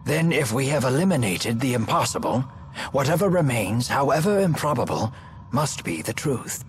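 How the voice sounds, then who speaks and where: A man voicing a courtly, wise elderly gentleman in a video game, formal, measured and precise. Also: mid-range voice, sing-song, lightly acted. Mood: grave, thoughtful. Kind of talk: English video game voice acting. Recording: game music behind the voice.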